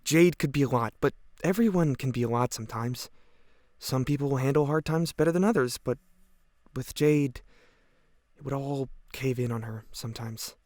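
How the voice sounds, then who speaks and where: Hesitant, wistful high school boy played by a man, medium pitch, close dry recording, audio play testimony. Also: moderate pace, darkish tone, no room sound, natural voice. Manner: hesitant, earnest. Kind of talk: naturalistic audio drama police testimony.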